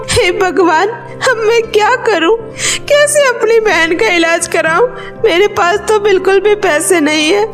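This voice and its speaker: A woman voicing a poor, devoted, anxious young schoolgirl in a children's story, with a pleading, emotional manner. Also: extremely high pitch. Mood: tearful, desperate.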